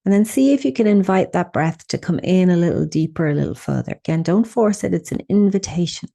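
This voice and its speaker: A woman leading a guided meditation, speaking in a soothing, unhurried way with gentle instructions and pauses. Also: fairly low pitch, animated, gravelly.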